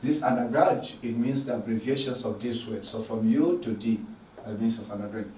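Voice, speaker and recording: A man with a Tanzanian accent, introducing an online math lecture in an earnest, repetitive way with many halting fillers. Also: on the low side, warm tone. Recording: distant mic in an echoey room with faint noise.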